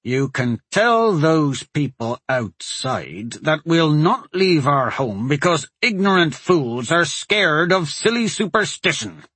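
A man's voice: a little high, very animated, slow pace, hardly any pauses, a bit bright, slightly rough, dry recording, pitched above the performer's own voice, full character voice. A man voicing a farmer defending his home, talking through clenched teeth. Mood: defiant. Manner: clenched, defiant. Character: defiant angry farmer, grown man.

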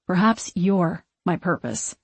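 A woman playing a gentle, wistful young ghost of a witch in an audiobook, speaking in earnest, measured, old-fashioned phrases. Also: lowish voice, animated, breathy edge, no echo, exaggerated voice. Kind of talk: audiobook character acting.

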